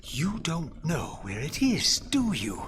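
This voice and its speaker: Embittered wraith for a video game, theatrical and sardonic, really dramatic swings in pitch, bit of noise behind. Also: male voice, huge pitch swings, takes it slowly, a bit bright, exaggerated voice. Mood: cold, resolute. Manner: theatrical, biting, formal diction.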